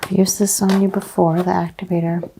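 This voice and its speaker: A soft-spoken woman playing a chiropractor in an ASMR roleplay, talking in a calm clinical way and often dropping to a whisper. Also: lowish voice, fairly quick, vocal fry, background noise.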